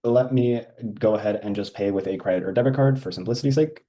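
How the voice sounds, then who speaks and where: Guy doing a casual software demo, low mellow voice, explains it step by step. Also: fast. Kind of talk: informal walkthrough of a software screen.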